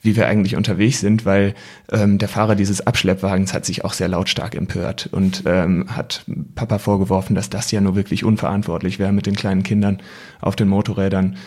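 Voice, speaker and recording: A man's voice: low voice, level tone, brisk, darkish tone. A young man from Germany telling memories as a podcast guest, casual and reflective, with hesitant phrasing. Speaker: podcast guest recalling family travels. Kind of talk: casual storytelling.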